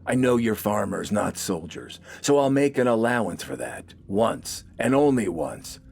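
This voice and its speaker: A man voicing a gruff, bossy, scornful army corporal in an audio story, barking curt, sarcastic orders. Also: fairly low pitch, very animated, a little slow, a little mellow, soft background music, voice pushed up, heavily acted. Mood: stern, commanding.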